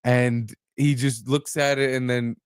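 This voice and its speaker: A man's voice: mid-range voice, flat delivery, a little slow, clean tone, no echo, studio quality. A man hosting a podcast, chatting casually and comedically, telling profane stories with acted-out exclamations. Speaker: podcast host.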